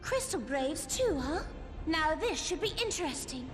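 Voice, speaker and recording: A woman's voice: high voice, sing-song, a little slow. A woman playing a reckless, cheerful young martial-arts fighter in a video game, brash and informal in manner. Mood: bold. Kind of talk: video game cutscene voice acting. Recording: long reverb, faint music behind.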